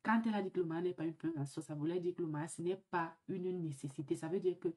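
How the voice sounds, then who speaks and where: A woman's voice: on the low side, a little mellow. A woman hosting a vlog while sick with the flu. She talks in an earnest, reflective way and often stops to hesitate and restart. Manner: halting, many fillers and restarts. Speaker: vlog host. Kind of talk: casual vlog monologue.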